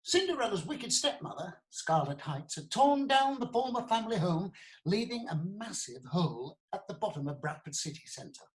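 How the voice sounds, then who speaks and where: A woman's voice: mid-range voice, sing-song, moderate pace, close to an everyday voice, poor audio. Woman acting an earnest, theatrical prosecutor. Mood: dramatic storytelling. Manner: formal, storytelling, deferential. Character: earnest, theatrical prosecuting lawyer recounting a case. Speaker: prosecuting lawyer. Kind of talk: comic courtroom radio play acting.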